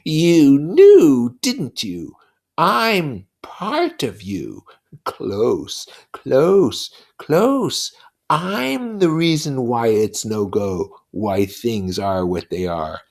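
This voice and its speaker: A narrator voicing a menacing, mocking pig's head, the beast, with drawn-out schoolmasterly condescension in a story read aloud. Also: mid-range voice, huge pitch swings, darkish tone, voice pushed up, exaggerated voice. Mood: sly, taunting.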